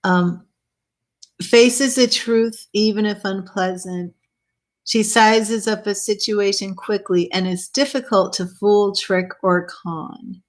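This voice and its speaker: A woman giving a live tarot reading in a casual, chatty way, musing aloud over the cards. Her voice is wearing thin and slightly hoarse, and she pauses to clear her throat. She speaks at an ordinary middle pitch for a woman. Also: steady tone. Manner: chatty, thinking aloud, with small laughs. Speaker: tarot reader.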